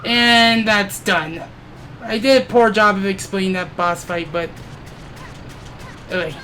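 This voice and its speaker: Woman, unhurried pace, halting and self-correcting, casual gameplay commentator, faint music behind. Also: medium pitch, pushed and bright. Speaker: video game player commentator. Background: game music.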